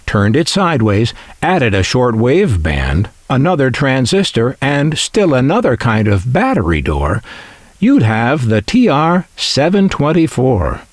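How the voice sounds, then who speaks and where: Unhurried American man with lively, swinging intonation. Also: medium pitch, dry recording. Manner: wry, self-deprecating, measured. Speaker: host of a vintage radio collecting video. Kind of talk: scripted narration.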